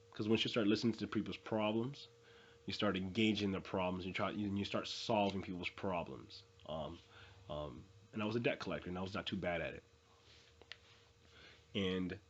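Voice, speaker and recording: A man's voice: fairly low pitch, slightly rough, dull audio. A man in his twenties giving an earnest, unscripted motivational talk, repeating phrases and restarting often. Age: 20s. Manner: earnest, repetitive, with many fillers and restarts. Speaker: motivational vlogger.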